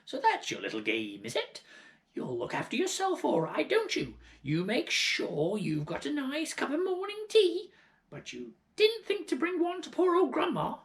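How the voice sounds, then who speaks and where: A man's voice: very high-pitched, huge pitch swings, moderate pace, voice pushed up, full character voice. A man voicing a huge, bad-tempered old grandmother in a children's story read aloud, screeching and bullying. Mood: screeching tantrum. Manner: screeching, bullying, self-pitying. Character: towering, furious, greedy old grandmother.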